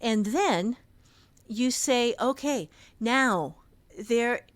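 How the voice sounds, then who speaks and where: A woman's voice: on the high side, animated, very slow, dry recording. A woman podcast co-host giving casual, conversational advice and telling personal anecdotes. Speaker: podcast co-host on fiction writing.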